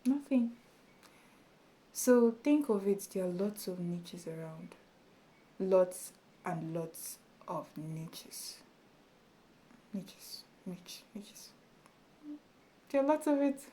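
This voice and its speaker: A woman with a small, soft voice speaks slowly to her camera, chatty and encouraging. Her tone is mellow and warm, and her casual delivery is sprinkled with fillers. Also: mid-range voice, expressive, slight background noise. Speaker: YouTube tutorial creator. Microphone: camera in front of her.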